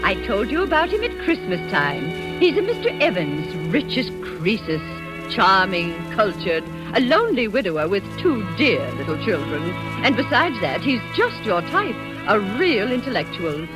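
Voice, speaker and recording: An old radio recording with music behind. An actress plays a gushing Victorian society matchmaker, insistent, with a lively, swooping mid-range voice. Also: female voice, medium pitch, moderate pace, close to an everyday voice, low-quality recording. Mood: coaxing. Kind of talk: 1940s radio acting.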